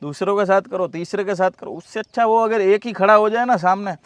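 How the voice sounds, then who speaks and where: A man's voice: high voice, fairly quick, no room sound. A man giving earnest, agreeable advice as a guest in casual conversation, repeating phrases for emphasis. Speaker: interview guest.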